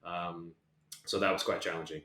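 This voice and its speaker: A man's voice: low voice, little pitch movement, normal speed, sharp tone. A man from Malaysia, a podcast guest, talking casually with lots of fillers and restarts. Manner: rambling, many fillers. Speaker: podcast guest, venture partner. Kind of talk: casual conversation. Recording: echoey room.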